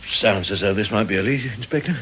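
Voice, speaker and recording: A man's voice: on the low side, lively intonation, fast talker, noisy background, natural voice. An actor playing a keen junior police detective, deferential to his superior and pointed in his questions, in a radio crime drama.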